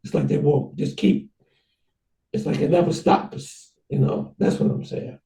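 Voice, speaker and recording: Gravelly, dark-voiced man in an echoey room. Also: on the high side, far from the mic. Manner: rambling, halting, many restarts. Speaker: host. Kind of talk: casual conversation.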